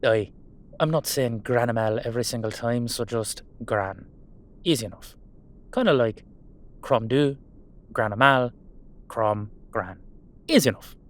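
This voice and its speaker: A man playing a gruff but fond Irish dullahan in a roleplay audio drama, speaking in a colloquial, hesitant, sweary way. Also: mid-range voice, a little slow, long pauses, lightly acted. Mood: fond, reassuring.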